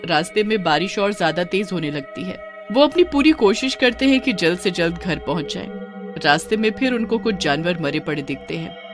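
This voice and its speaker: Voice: medium pitch, expressive, normal speed. A storyteller giving scripted narration of a cartoon horror tale. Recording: music behind the narration.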